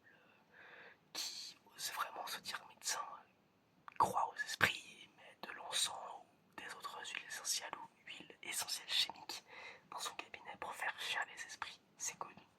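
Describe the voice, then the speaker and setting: A person whispering in a breathy, almost voiceless way in an ASMR roleplay as an examiner, halting and repeating short phrases. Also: sharp tone. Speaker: ASMR creator playing an examiner giving a five senses test.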